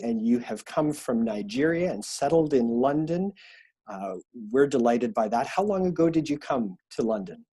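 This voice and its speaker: A man speaking as a pastor interviewing someone over a video call, in a halting, welcoming conversational way. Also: medium pitch, mellow, husky. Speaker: pastor interviewing a new church member.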